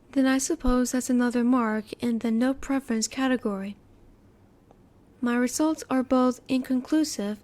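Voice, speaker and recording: Woman as a soft-toned, high-voiced curious alien girl. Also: lightly acted. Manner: formal, precise, stilted wording, politely inquisitive. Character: curious, polite, emotionally reserved female alien. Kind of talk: roleplay audio drama, one-sided dialogue to the listener.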